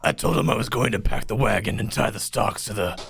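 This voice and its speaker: A man playing a hesitant, folksy middle-aged farmer with a halting, stammering delivery in an amateur radio play. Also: on the low side, gravelly, a bit bright, slight background noise. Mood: resigned.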